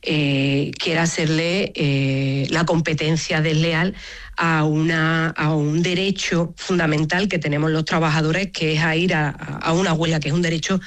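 A woman speaking as a union leader in an interview, halting and repeating phrases. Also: deep voice, steady tone. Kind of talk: interview answer.

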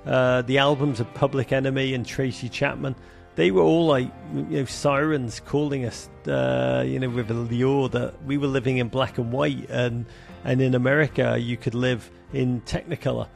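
A man's voice: mid-range voice, steady tone, normal speed, gravelly, faint music behind. A man from England with a Liverpool accent, a soccer broadcaster and author, talking animatedly in an interview with many fillers. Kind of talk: conversational interview.

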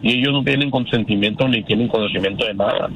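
A man in his fifties, from Canada, calling into a radio show and telling his story haltingly, with many restarts. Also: fairly low pitch, a bit bright, a little hiss or hum. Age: 50s. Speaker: caller. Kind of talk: phone-in testimony. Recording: phone call on radio.

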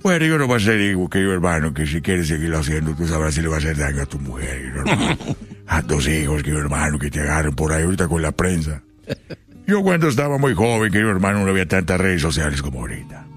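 A man's voice: a bass voice, very animated, fast talker. A man doing a comedic impression of an old Mexican ranchera singer, sermonizing his brother repetitively in a Mexican accent. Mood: preachy, concerned. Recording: music playing behind the voice.

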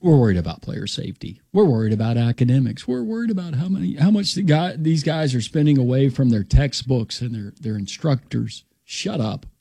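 A man co-hosting sports talk radio with a gravelly, husky edge. His tone is dark and mellow, and he riffs sarcastically. The sound is dry and close. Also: medium pitch, expressive, brisk, few pauses, no room sound. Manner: sarcastic, wisecracking. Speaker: co-host. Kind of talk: casual sports radio banter.

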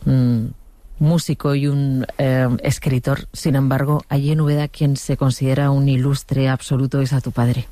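A woman hosting a radio interview who asks her questions in a calm, measured way with deliberate pauses. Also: very deep voice, no room sound. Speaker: radio host.

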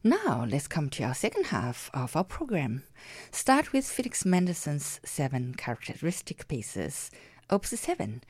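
A woman's voice: low voice, huge pitch swings, unhurried, darkish tone. A woman presenting a classical music radio show, interviewing a guest and introducing the next pieces. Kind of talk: radio hosting and announcing.

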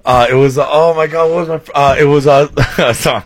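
A man's voice: a little high, fairly even intonation, a little fast, dry recording. A man co-hosting a morning radio show, playful and hesitant, stumbling through fillers. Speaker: radio co-host.

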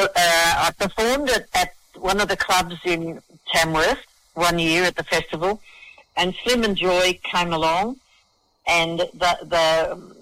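An Australian man with a high, bright voice talks in a casual interview. He is enthusiastic and matey, stammering over repeated words as he gushes about his subject. The sound is close and dry. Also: dry recording, poor audio. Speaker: festival organiser and singer-songwriter, interview guest. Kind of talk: casual radio interview chat.

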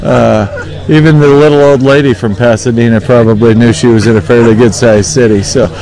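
A man hosting a casual live broadcast interview, speaking in a folksy, halting, conversational way. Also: mid-range voice, fairly even intonation, a little mellow, low-quality recording. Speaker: radio show host and interviewer.

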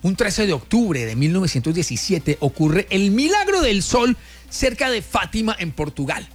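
A man's voice: sits high, big pitch swings, normal speed, faint music behind. A Colombian man hosting a morning radio show, chatting in an exclamatory, folksy way and addressing listeners directly. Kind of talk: lively radio show chat and presenting. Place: radio studio.

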